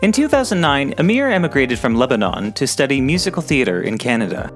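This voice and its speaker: A male host narrating a scripted episode in a reflective, measured tone. His pitch moves freely through his sentences, and faint music plays beneath him. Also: big pitch swings.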